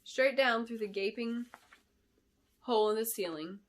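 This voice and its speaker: A woman narrating a fantasy novel in an expressive, deliberate storytelling style, as an author reading aloud. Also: fairly high pitch. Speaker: author reading her book. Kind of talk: dramatic scripted fantasy narration.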